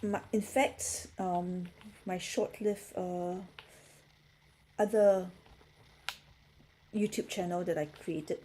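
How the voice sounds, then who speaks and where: Middle-aged woman from Singapore, mellow, relaxed livestream host, faint noise behind. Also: lowish voice, poor audio. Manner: chatty, reads and answers chat comments. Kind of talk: casual livestream chat.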